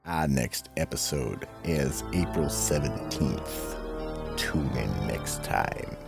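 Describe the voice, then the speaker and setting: A man playing a sinister, menacing horror storyteller with a gravelly, husky voice, narrating a radio drama theatrically. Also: extremely low pitch, lively intonation, takes it slowly, over loud music, exaggerated voice. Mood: sly, menacing. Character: sinister psychotic horror storyteller, keeper of the vault. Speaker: host and narrator.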